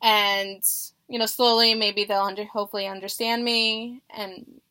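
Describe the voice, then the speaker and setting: A young woman job applicant answering phone interview questions in a nervous, halting and hesitant manner. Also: medium pitch, level tone, pushed and bright, clean tone. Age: young adult.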